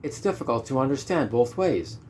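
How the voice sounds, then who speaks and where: A man's voice: medium pitch, very animated, fairly quick, darkish tone, a little hiss or hum. Emphatic Canadian man teaching. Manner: emphatic, repeats key points, rhetorical questions. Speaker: ESL teacher. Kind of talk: instructional monologue.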